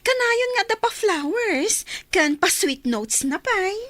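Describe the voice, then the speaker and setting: Very high-pitched teasing Filipina friend. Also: female voice, sing-song, slow, a bit bright, no echo, full character voice. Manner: teasing, exclamatory. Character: teasing, gossipy female friend, lively and nosy. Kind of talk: Ilocano radio drama acting.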